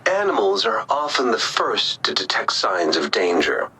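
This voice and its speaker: A man's voice: on the low side, huge pitch swings, moderate pace, bright, forceful tone, slightly rough, exaggerated voice, poor audio. A man playing a composed, analytical researcher who explains precisely, in a video game. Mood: thoughtful.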